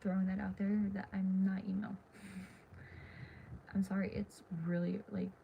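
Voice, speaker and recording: A teenage girl livestreaming from her dark bedroom at night, talking in a tired, half-whispered ramble while losing her voice. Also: mid-range voice, completely flat delivery, slow, gravelly. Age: teens. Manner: hushed, half-whispered and rambling with many fillers. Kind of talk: casual late-night livestream chat. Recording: dull lo-fi phone audio with faint hiss.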